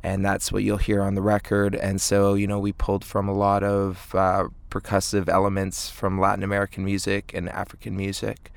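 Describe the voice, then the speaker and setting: A man in his late twenties chatting casually as an interview guest, thoughtful and halting in his phrasing. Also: deep voice, completely flat delivery, gravelly, no room sound. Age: late 20s. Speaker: indie band frontman, interview guest.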